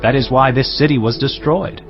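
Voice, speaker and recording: A man's voice: medium pitch, fairly even intonation, moderate pace, natural voice. A man playing a stern Persian provincial official in a dramatized scripture reading, speaking formally and declaiming his accusations. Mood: formal, accusing. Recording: dull audio with loud music behind. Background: music.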